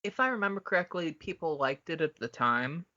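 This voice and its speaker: A man with a bright, punchy voice chats casually as a podcast co-host. He is blunt and opinionated, swearing freely. The audio is somewhat dull and lo-fi. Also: on the high side, lively intonation, fairly quick. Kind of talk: casual, profane fan discussion.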